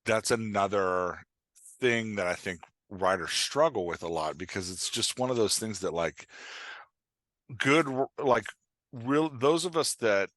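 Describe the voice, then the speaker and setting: A man's voice: lowish voice, fairly bright tone, no room sound. A man speaking as a podcast guest and writing coach, explaining things in an informal, rambling, conversational way and sometimes acting out imagined reactions. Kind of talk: casual explanatory interview talk.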